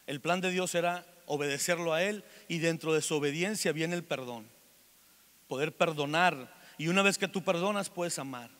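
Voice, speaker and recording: A man's voice: on the high side, a bit bright, in a large hall. A man in his forties with a Mexican accent, preaching a sermon and mixing jokes and stories with earnest calls to forgive. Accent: Mexican Spanish. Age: 40s. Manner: joking storytelling between earnest exhortation. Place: church meeting hall.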